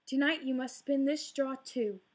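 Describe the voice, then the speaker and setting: A greedy, commanding storybook king lays down his orders slowly and sternly, as a woman reading aloud voices him. The voice stays fairly high for a king.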